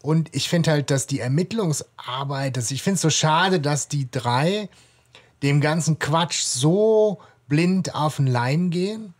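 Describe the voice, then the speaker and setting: A man's voice: a little high, takes it slowly. A man co-hosting a podcast, talking casually and thinking aloud with some hesitation. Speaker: podcast co-host. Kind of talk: casual discussion.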